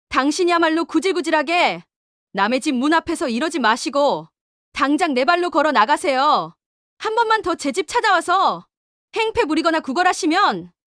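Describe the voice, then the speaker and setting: Woman voicing a calm, high-voiced heroine. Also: no echo, clean studio sound. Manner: calm and precise. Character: heroine, composed successful daughter-in-law.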